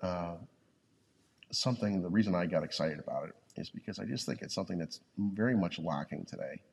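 A man co-hosting a podcast in casual conversation, with a very deep, gravelly voice, speaking haltingly and thoughtfully, lying on a yoga studio floor close to the mic. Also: slight background noise. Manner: halting, restarts often, thoughtful. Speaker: podcast co-host. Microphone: podcast mic, leaning in close.

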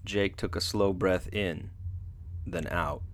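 A man giving somber, measured, scripted dramatic narration for radio theatre. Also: low voice, fairly even intonation.

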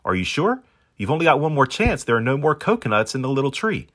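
A man's voice: mid-range voice, big pitch swings, fast, fairly bright tone, pitched above the performer's own voice. A man voicing a blunt, practical, slightly condescending seagull in a children's storybook reading. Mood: questioning. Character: cocky, matter-of-fact male seagull offering help.

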